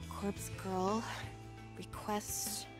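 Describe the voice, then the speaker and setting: A woman's voice: mid-range voice, level tone, drawn-out words. A woman playing a cynical, hot-tempered young schoolgirl in an anime visual novel. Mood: puzzled, reading. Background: game music.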